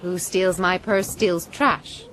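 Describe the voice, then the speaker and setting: A wry well-mannered lady at sea, lowish voice, noisy ship sound behind, radio drama. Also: fairly even intonation, slow, fairly bright tone. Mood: wry, inquiring. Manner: polite, questioning, wry. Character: poised, sharp-witted gentlewoman aboard a sealing ship.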